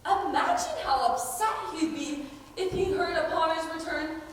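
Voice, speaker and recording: A young woman acting a sly schemer who poses as a pious nun in an amateur stage comedy, preachy and deliberate. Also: very high-pitched, animated. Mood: smugly threatening. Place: high school play on a stage. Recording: echoey hall from far off, faint noise.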